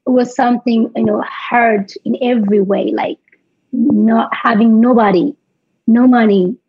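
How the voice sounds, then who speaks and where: Ethiopian woman, high husky voice, stops and repeats words, dry, kinda muffled recording. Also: steady tone, no room sound. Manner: halting, repeats words, laughs while telling hard stories. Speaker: guest. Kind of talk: podcast interview, telling her life story.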